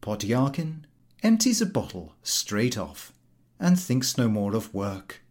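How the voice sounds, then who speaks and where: A man's voice: mid-range voice, big pitch swings, slow, a little mellow. A man reading a scripted story as an audiobook narrator. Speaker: audiobook reader.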